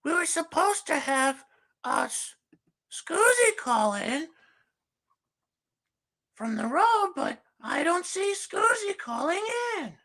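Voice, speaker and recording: A man voicing a gushing woman host in falsetto on a comedic livestream, starting almost every line with "well". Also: very animated, slow, pauses often, sharp tone, full character voice. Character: shrill fake woman hostess, gushing. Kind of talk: comedic livestream character bit.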